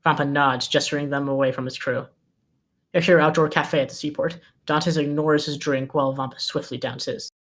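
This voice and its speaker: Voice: medium pitch, fairly even intonation, fairly quick, fairly bright tone. A narrator reading scene headings and stage directions at a screenplay table read.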